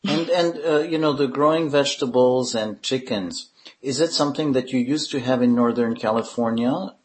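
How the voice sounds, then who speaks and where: A man's voice: mid-range voice, moderate pace. Hesitant male interviewer. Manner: hesitant questions with restarts.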